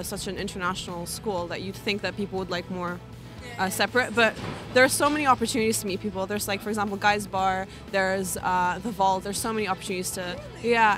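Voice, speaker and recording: A young woman student gives chatty, upbeat answers outdoors, full of filler words. Music plays clearly in the background. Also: medium pitch. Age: young adult. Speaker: university student. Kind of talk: casual street interview answers. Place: outdoors in a city.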